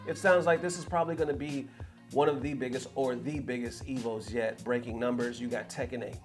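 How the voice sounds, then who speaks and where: A man's voice: mid-range voice, steady tone, a little fast. A man from the San Francisco Bay Area talking casually and with animation to viewers as a gaming news host, with slangy, sweary phrasing. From San Francisco Bay Area, California. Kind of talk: casual opinionated vlog talk to viewers. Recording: music playing behind the voice. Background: background music.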